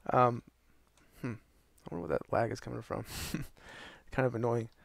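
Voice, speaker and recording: A young man with a hoarse, worn-out voice talks through a gaming build in a loose, rambling way, full of ums and uhs. His tone has a gravelly fry to it, recorded close and dry with no room sound. He sounds short on sleep and low on energy. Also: moderate pace, no echo. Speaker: gaming channel host. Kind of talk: casual tutorial narration over gameplay.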